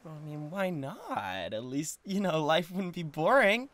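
A man's voice: a little high, big pitch swings, a little slow, fairly bright tone. A man voicing a lovestruck, awkward devil king of Hell in an intimate ASMR roleplay, hesitant and stammering. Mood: excited, giddy. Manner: hesitant, stammering, swinging from tender to giddy. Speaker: audio roleplay voice actor.

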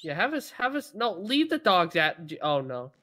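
A man with a fairly high voice role-plays a police officer in an online game. He chats casually and hesitantly, sounding bemused as he feels out what to say. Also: sing-song, normal speed, pushed and bright, slight background noise. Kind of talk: casual improvised in-game role-play chat.

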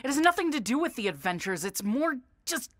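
A woman voicing a grouchy, jaded cartoon toy creature made of mismatched parts, husky and sardonic, blunt in her exasperation. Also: high voice, very animated, brisk, no echo, studio quality. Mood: irritated. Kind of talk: animated cartoon voice acting.

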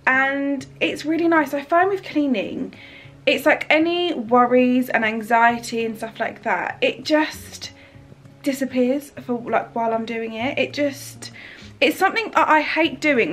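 A woman's voice: a little high, normal speed, fairly bright tone, soft background music. Chatty young woman vlogging. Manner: chatty and upbeat, lots of "like" and "um". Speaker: lifestyle vlogger. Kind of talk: casual vlog chat to camera. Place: bright home kitchen and bedroom, indoors. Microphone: phone held at arm's length.

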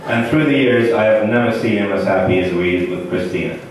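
A man in his thirties whose first language is Russian, giving a joking best man toast from notes into a handheld mic with a deadpan delivery and pauses for laughs. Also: fairly low pitch, crowd noise. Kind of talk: wedding toast read from notes. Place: indoor banquet hall. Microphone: handheld mic, filmed by a far camera in the audience. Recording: echoey hall from far off, audience noise.

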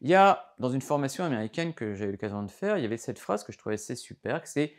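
A middle-aged male doctor lecturing straight to camera, his pitch rising and falling in an animated sing-song as he explains. He talks with his hands and keeps stopping to restart a phrase or drop in a filler. The sound is close and clean, like a lapel mic in a studio. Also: quick pace. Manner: lively, explains with hand gestures, many fillers and restarts. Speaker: doctor giving a health lesson. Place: studio before a green backdrop.

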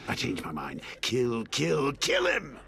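A man's voice: mid-range voice, wildly swooping pitch, slow, creaky, a bit bright, a little hiss or hum, heavily acted. An actor playing a manic, taunting male clown villain in a video game, sardonic and theatrical. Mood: manic protest.

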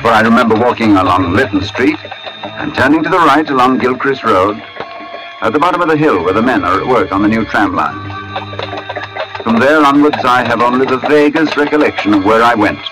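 An actor playing a brooding, polite gentleman narrator in a 1940s radio drama, measured, literary and intense. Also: mid-range voice, lively intonation, close to an everyday voice, poor audio. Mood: haunted, brooding. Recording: old radio broadcast with music.

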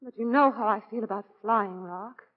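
Actress as a gentle wife on muffled old radio. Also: female voice, mid-range voice, moderate pace, natural voice. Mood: anxious. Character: gentle, devoted, worrying middle-aged wife. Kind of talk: 1940s radio drama acting. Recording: dull old 1940 radio recording.

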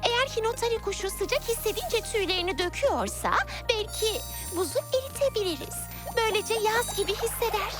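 Casting: brave, upbeat little cartoon girl, very high voice, loud music underneath. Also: expressive, a little fast, hardly any pauses. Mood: determined, excited. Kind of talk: children's cartoon dubbing. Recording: loud children's music behind.

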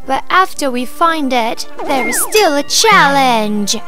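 A woman voicing a kind, wise little boy god in a cartoon, gentle and earnest. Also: sits high, sing-song, takes it slowly, over music, heavily acted. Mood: earnest, explaining. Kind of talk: cartoon voice acting.

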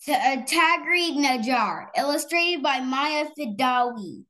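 A woman reading a children's story aloud, haltingly and stumbling over harder words. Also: high voice, expressive, bright, forceful tone.

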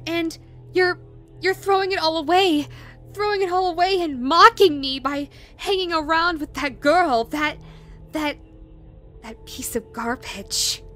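A woman playing an obsessive, possessive yandere in a rabbit costume in an audio roleplay, cooing in a drawn-out way that swings from syrupy to threatening. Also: very high voice, fairly bright tone, faint music behind. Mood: wounded, angry. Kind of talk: dramatic audio roleplay addressed to the listener.

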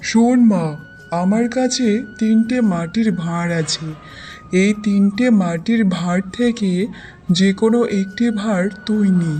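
A woman voicing a kindly, fatherly old village man in a cartoon fairy tale, speaking gently. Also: medium pitch, a little slow, lightly acted. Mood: kindly, caring. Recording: music behind the voice.

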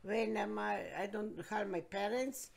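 A woman, being interviewed by her grandkids and telling her story in plain, halting, broken English. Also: medium pitch, gravelly. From Croatia. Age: 70s. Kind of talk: casual interview.

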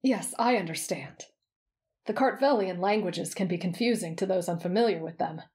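A woman voicing an earnest, polite, easily flustered young man with a measured, formal manner in a narrated story. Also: on the low side, darkish tone, natural voice.

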